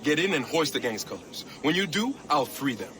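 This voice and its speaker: A man's voice: on the high side, big pitch swings, brisk. A man playing a roguish, eager conspirator in a video game, sly and spirited. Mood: brisk, sly.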